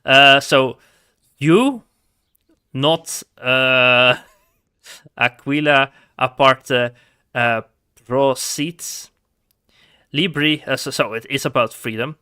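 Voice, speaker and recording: A man's voice: medium pitch, drawn-out words, long pauses, sharp tone, clean tone. A man with a Dutch accent, chatting casually while streaming a game, giggly and hesitant with many ums. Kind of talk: casual gameplay commentary.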